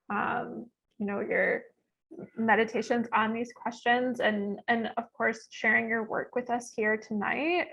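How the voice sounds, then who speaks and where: A woman with a fairly high voice, full of fry and husky at the edges, hosts casually and politely. She sounds like an informal moderator on a slightly muffled video call. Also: a bit bright. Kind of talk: casual event hosting, thanking speakers. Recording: dull, lo-fi video-call audio.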